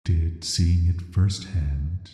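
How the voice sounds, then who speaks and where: A man playing an ancient banished villain, sealed away and softly menacing, speaking in a flat, hesitant, measured way for an atmospheric sleep-aid audio roleplay. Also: low-pitched, soft background music. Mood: pensive, curious. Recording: roomy echo with long reverb.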